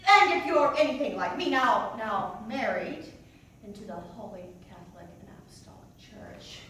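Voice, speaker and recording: A woman playing a rambling, doubting, wry churchgoing mother in a halting comic stage monologue, full of digressions and self-interruptions. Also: medium pitch, very animated, pushed and bright. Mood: wry, unsure. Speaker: actor performing a monologue. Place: indoor staged reading in a reverberant room. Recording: echoey room, distant mic, faint noise.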